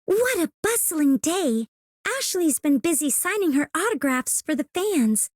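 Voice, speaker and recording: A woman's voice: high voice, big pitch swings, measured pace, no room sound, studio quality. A woman voicing an earnest, eager young female operator in scripted video game lines. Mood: bright, grateful.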